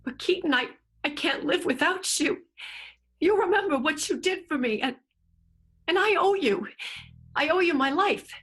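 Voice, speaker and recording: A woman playing a devoted, lovelorn stage manager who pleads haltingly in an improvised radio melodrama. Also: high voice, expressive, fairly bright tone, soft background music. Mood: pleading, lovelorn. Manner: halting, imploring.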